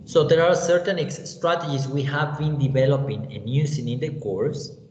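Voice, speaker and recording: A man with a Mexican accent teaching an online English class, unhurried and often checking in with "you know" and "okay?". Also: a little high. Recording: echoey, roomy, dull lo-fi sound with faint hiss.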